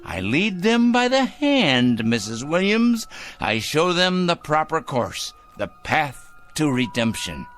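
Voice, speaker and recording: A man's voice: on the high side, wildly swooping pitch, slow, fairly bright tone, faint music behind, exaggerated voice. An actor in a radio drama playing a fussy, sanctimonious, secretive man obsessed with judging evil, speaking precisely and condescendingly. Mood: self-righteous, menacing. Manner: pompous, condescending, precise and theatrical.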